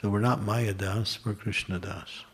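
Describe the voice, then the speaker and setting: A man's voice: fairly low pitch, steady tone, measured pace, fairly bright tone, breathy edge. A man giving a scripture class at a temple, explaining step by step and posing and answering his own questions. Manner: lists examples in short runs.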